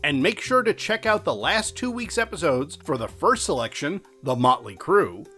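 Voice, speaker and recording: A man's voice: fairly high pitch, very animated, moderate pace, bright, forceful tone. A man reading a scripted promotional introduction as an announcer. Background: music under the voice.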